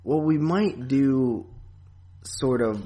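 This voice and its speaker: A man hosting a podcast in a casual, rambling, halting chat style with frequent asides. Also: mid-range voice, mellow. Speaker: podcast host.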